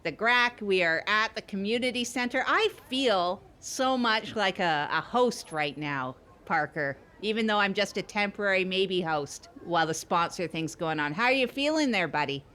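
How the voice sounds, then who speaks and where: A woman's voice: medium pitch, expressive, moderate pace, few pauses, sharp tone. A woman playing a chummy, folksy insurance agent trying out as a radio co-host, rambling and teasing in improvised comedy chat. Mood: upbeat. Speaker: trial co-host of a comedy podcast.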